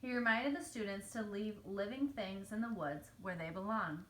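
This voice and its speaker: A woman reading a children's story aloud as narrator, telling it clearly for young children. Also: mid-range voice, normal speed, rough recording. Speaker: storybook reader.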